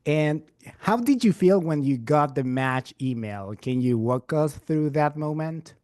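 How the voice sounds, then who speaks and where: A man's voice: on the high side, animated, a little slow, darkish tone, no echo, studio quality. A man who is a resident physician hosts an interview, upbeat, often repeating his words of praise. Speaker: interviewer, program ambassador. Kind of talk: scripted-sounding interview hosting.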